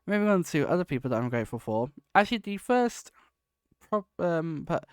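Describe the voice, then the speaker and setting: Male podcast host, casual and meandering, slightly sniffly; crisp voice, dead-dry close mic. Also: a little high, big pitch swings, a little fast, dry recording. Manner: rambling, self-correcting, warmly grateful. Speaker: podcast host and project lead. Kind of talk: casual solo podcast talk.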